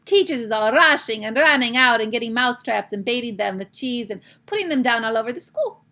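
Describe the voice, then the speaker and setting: A woman voicing an imperious, child-hating Grand High Witch for a children's storybook, commanding and emphatic. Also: animated, fairly quick, sharp tone. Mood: gloating.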